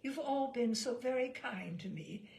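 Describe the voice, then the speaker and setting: A woman reading the part of a prim, coolly polite spinster passenger in a measured, genteel manner. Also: a little high, animated, gravelly, a little mellow, a little hiss or hum. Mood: gracious.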